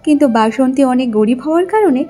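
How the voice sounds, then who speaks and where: A woman's voice: high voice, animated, fast, warm tone, soft background music. A woman storyteller reading scripted narration for a cartoon moral story.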